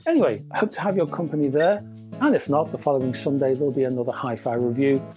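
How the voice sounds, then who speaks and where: A man presenting a scripted product review, speaking in measured, deliberate phrases with chatty, whimsical turns. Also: mid-range voice, dark tone, soft background music. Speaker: reviewer and presenter of an audio gear channel.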